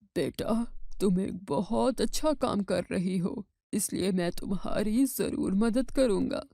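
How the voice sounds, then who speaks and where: A woman voicing a kindly forest holy man in a storybook tale, speaking in a measured, reassuring way. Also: medium pitch, gravelly, dark tone, dry recording, studio quality. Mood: calm, reassuring.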